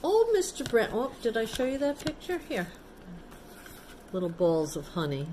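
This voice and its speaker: A woman teacher reading a picture book to children, pausing to explain things simply and ask the young listeners questions. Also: on the high side, sing-song, dark tone, a little hiss or hum. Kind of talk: storybook read-aloud with chatty asides to children.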